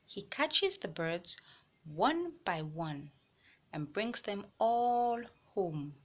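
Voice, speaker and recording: A woman hosting a children's read-aloud, narrating a storybook with deliberate phrasing for young listeners. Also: mid-range voice, big pitch swings, a bit bright.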